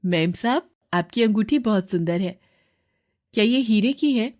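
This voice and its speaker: A woman voicing a humble poor mother who works as a maid, gentle, earnest and deferential, in a story. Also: mid-range voice, lively intonation, measured pace, natural voice. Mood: polite, hopeful.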